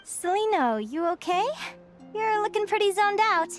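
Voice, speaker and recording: A woman playing a cheerful, stubbornly optimistic young adventurer in a video game, bubbly, excitable and earnest. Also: very high-pitched, normal speed, music in the background. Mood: upbeat, teasing. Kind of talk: animated video game voice acting.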